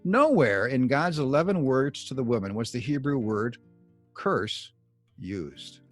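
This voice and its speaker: A man reading scripted Bible teaching like an audiobook author, deliberate and emphatic, with measured pauses. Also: medium pitch, expressive, soft background music. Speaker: author reading own book excerpt.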